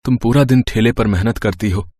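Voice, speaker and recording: A man playing a gentle, ailing poor husband and father in a story, earnest and reassuring. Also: on the low side, a little fast, slightly rough, dry recording. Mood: earnest, hopeful.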